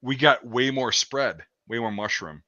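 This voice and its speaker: Male host, casual stream chat, bright tense tone, muffled lo-fi audio, swears freely. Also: mid-range voice, animated, a little slow, dry recording. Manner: profane, rambling, restarts often. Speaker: show host.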